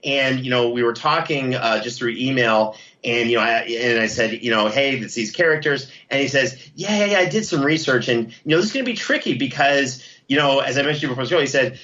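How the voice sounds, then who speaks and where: A man's voice: medium pitch, lively intonation, a little fast, few pauses, sharp tone, muffled recording. A man chatting casually as an interview guest, enthusiastic and effusive about what he talks about. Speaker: podcast producer and guest.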